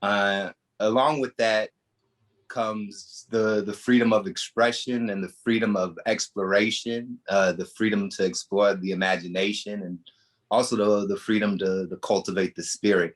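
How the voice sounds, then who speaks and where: A man, a podcast guest, speaking slowly and thoughtfully, often pausing to search for words. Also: lowish voice, fairly even intonation. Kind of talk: casual interview talk.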